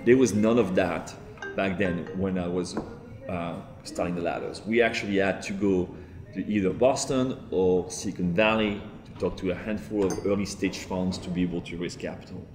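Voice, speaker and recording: Deep-voiced Frenchman in a roomy office. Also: normal speed, soft background music. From France. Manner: steady, fluent with filler ums and repeats. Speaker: entrepreneur guest. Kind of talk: seated interview, telling his story. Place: large open-plan office, indoors.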